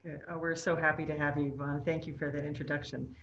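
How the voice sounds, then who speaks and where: A woman's voice: low voice, little pitch movement, fast talker, fairly bright tone, lo-fi sound, a little hiss or hum. A woman moderating a panel, thanking each speaker graciously and handing off to the next. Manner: gracious, brief hand-offs.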